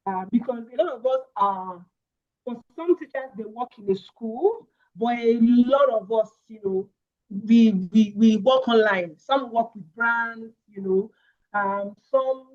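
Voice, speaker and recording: Nigerian woman hosting over a tinny line. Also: on the high side. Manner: lively, chatty, jokes with the audience. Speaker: webinar host. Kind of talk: hosting an online session. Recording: tinny phone-like sound.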